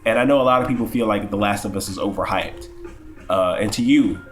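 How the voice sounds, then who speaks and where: A man's voice: on the low side, a little fast, faint music behind. A young man with a cold, sniffling as he gives a casual, rambling, profane game review with lots of stammered restarts. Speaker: gaming YouTuber.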